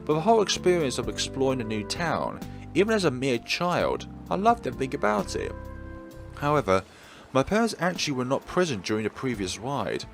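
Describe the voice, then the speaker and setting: A bold, well-mannered young lady speaks in a formal, lively way, as a man reads her lines in a visual novel. The voice is low-set and gravelly, with game music playing behind. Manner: polite, formal reading.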